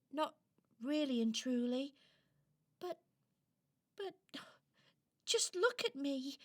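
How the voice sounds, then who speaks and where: A small, forlorn toy played by a woman in a children's radio play. The voice is high and plaintive, hesitant and unhurried, full of self-doubt. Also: fairly bright tone. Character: sad, scared little lost toy doubting it is wanted.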